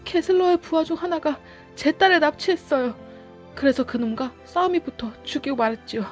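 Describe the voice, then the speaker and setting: A woman's voice: high voice, lively intonation, fairly quick, soft background music, pitched above the performer's own voice. A woman voicing a desperate shepherd man on the run in a storybook, begging urgently. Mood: desperate pleading.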